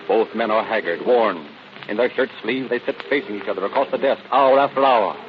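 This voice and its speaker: A man's voice: on the low side, a little fast. A man narrating a scripted radio drama in a dramatic style. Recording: muffled, very noisy vintage radio recording.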